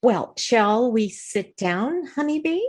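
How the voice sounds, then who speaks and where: An actress playing a sensible, mildly scolding housewife and hostess in an old-time radio comedy. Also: female voice, on the high side, expressive, takes it slowly. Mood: gracious, orderly.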